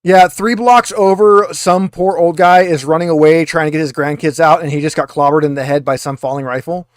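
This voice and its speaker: A man's voice: a little high, steady tone, fairly quick, clean tone, no echo. A man acting as game master, casually narrating a tabletop game and explaining its rules to the players.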